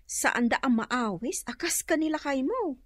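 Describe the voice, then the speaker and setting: An actress with an Ilocano accent playing a gossipy, sympathetic neighbour and confidante in a radio drama. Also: female voice, high voice, expressive, unhurried, dry recording, studio quality.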